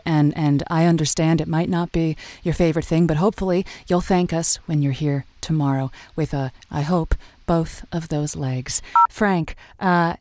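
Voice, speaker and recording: A woman's voice: low voice, darkish tone, dry recording, lightly acted. Woman playing wry radio host, dry close sound. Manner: hesitant reassurance mixed with wry bluntness. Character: female radio advice host, wry, soothing, frank. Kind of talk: improvised radio call-in drama.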